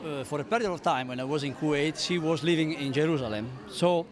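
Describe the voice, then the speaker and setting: Male interviewee from Verona, slightly high voice, loud crowd around him. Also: fast. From Verona, Italy. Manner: relaxed storytelling with fillers. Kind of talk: casual interview answer. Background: crowd chatter.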